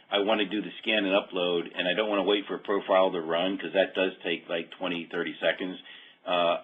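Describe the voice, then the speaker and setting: A man giving an informal, hesitant, explanatory walkthrough of a software demo, often rephrasing mid-sentence. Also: low voice, breathy edge. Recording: muffled phone-like call audio.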